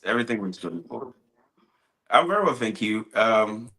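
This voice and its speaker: A man's voice: on the low side, animated, normal speed, bright, forceful tone, low-quality recording. A young man from Ghana with a Ghanaian accent, giving casual, conversational answers as an interview guest. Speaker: interview guest, student abroad.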